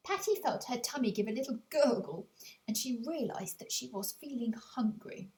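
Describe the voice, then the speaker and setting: High, very animated woman storyteller. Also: poor audio. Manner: storytelling, with confiding asides to the listener. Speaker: author and audiobook narrator. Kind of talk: scripted children's story narration.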